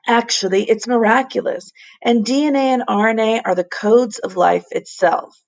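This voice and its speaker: Earnest, emphatic professor read by a woman, creaky. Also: a little high, darkish tone, voice pushed up. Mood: earnest, explanatory. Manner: deliberate, explanatory, emphatic. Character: earnest, kindly, scholarly male professor.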